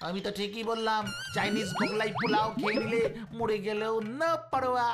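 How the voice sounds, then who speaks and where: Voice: mid-range voice, wildly swooping pitch, fairly quick, loud music behind the voice. An actor voicing a mischievous, silly, food-loving young boy sidekick in a cartoon, cheeky and rambling with a whiny edge. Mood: greedy, fretful. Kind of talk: Bengali cartoon voice acting.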